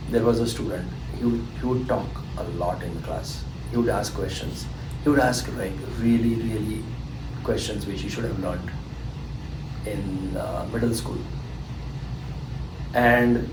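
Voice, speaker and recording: A man's voice: on the low side, background noise. A man in his fifties from India with an Indian accent, a tutor telling rambling, hesitant stories in an interview. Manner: rambling, hesitant with fillers and restarts. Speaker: SAT tutor, guest. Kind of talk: anecdotal storytelling in an interview. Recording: echoey room, distant mic, humming air conditioning.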